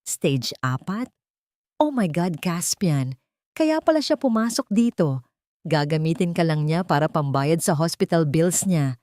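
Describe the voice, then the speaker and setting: A woman's voice: low voice, expressive, a little slow, warm tone, no room sound, clean studio sound. A woman voicing a cruel, mocking young fiancee in a dramatic storybook, sneering and scornful, mixing English into her speech.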